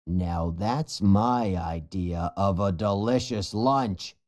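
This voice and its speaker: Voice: deep voice, takes it slowly, darkish tone, pitched below the performer's own voice. A narrator playing a growling, greedy hyena boss. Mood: gloating menace. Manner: bossy, gloating. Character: menacing, greedy male hyena gang leader.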